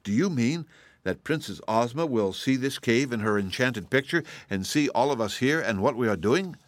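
An astonished young boy from a storybook, played by a man reading aloud. The voice is lifted in pitch, questioning and startled, with a dry, close sound. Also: lively intonation, moderate pace, dry recording, close to an everyday voice. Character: astonished, inquisitive farm boy.

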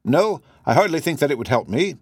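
A man voicing a shrewd, courteous male detective in an audiobook, with a brisk and assured way of talking. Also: on the high side, very animated, breathy edge, no echo, voice pushed up. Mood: polite, measured. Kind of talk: audiobook character voice.

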